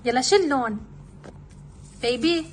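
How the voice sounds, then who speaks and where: Lebanese mom, casual home craft vlogger, fairly high voice over faint noise. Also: female voice, expressive, normal speed. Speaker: mother making kids' craft videos. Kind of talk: casual homemade craft demonstration, talking to her baby.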